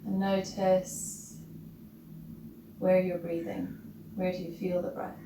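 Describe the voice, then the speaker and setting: A woman guides a meditation in a gentle, very unhurried way, with long pauses between short phrases. Her pitch is average for a woman. She is heard from a distance in a roomy, echoing space, with clear noise behind her. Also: level tone. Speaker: yoga or meditation teacher. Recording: roomy, distant mic with loud background noise.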